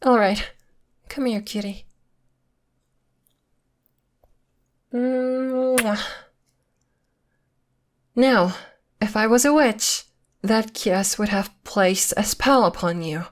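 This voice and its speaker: A woman's voice: medium pitch, slow pace, pauses often, lightly acted. A woman playing a possessive girlfriend who is secretly a witch, coy and sweetly menacing, in an ASMR roleplay. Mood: affectionate, possessive. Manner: coy, teasing, veiled threats in a sweet tone.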